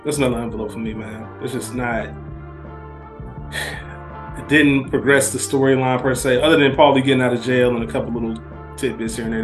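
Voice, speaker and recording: A man co-hosting a podcast, giving his take on television in casual chat. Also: medium pitch. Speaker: podcast co-host.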